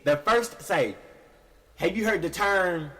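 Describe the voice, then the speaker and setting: A man's voice: sits high, slow, pushed and bright. A man in his thirties hosting a casual podcast chat, telling stories with emphatic repetition. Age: 30s. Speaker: podcast host.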